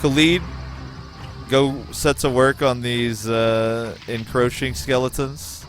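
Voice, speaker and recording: A man's voice: medium pitch, slow pace, soft background music. Profane American man narrating gameplay. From United States. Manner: excitable, profane, talks to chat. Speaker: streamer. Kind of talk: casual gameplay commentary.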